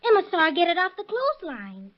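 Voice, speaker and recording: Earnest high-voiced little girl witness. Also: wildly swooping pitch, fast, heavily acted. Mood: earnest, timid. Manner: artless, hesitant, deferential. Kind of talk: 1930s radio crime drama acting. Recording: dull vintage 1930s radio recording.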